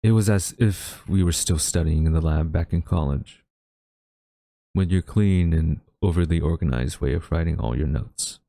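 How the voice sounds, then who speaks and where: A male actor plays a haughty government agent with a low, gravelly voice. He addresses his captive former friend with smug, theatrical deliberation and an undertone of regret, in a very dry, close audio drama recording. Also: moderate pace, warm tone, no echo. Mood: bitter, wistful. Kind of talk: dramatic audio roleplay monologue.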